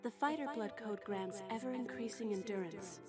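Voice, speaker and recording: A woman voicing a calm, solemn mentor in a video game, speaking slowly and deliberately with an echo effect. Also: mid-range voice. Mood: solemn, instructive. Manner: slow, deliberate speech. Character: solemn, calm female mentor guiding a newly reborn revenant. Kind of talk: scripted video game tutorial dialogue. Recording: loud background music.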